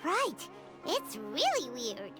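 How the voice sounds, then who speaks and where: A tiny, childlike game sidekick with an extremely high, squeaky voice. The delivery is slow and very bouncy in pitch, earnest and sure of itself, with music behind it. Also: female voice, huge pitch swings, exaggerated voice. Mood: puzzled. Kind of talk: video game voice acting.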